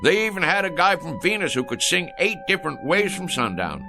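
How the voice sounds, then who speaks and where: A man's voice: a little high, big pitch swings, fairly quick, music in the background. An actor playing a folksy rural American tall-tale teller in his sixties, drawling and rambling in a radio drama. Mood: insistent. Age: 60s. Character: folksy sixty-three-year-old tall-tale-telling rural man.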